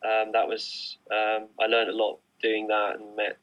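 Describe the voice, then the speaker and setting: A man's voice: fairly low pitch, monotone, a little slow, dull audio. A middle-aged man, an artist, answering interview questions online in a casual, hesitant way with many fillers and restarts. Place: remote online recording.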